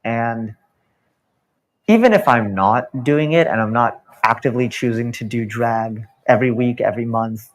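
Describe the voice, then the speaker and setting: A man's voice: medium pitch, normal speed. A young man on a panel, a drag performer telling a personal story in a reflective, hesitant way. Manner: reflective, hesitant, many fillers and restarts.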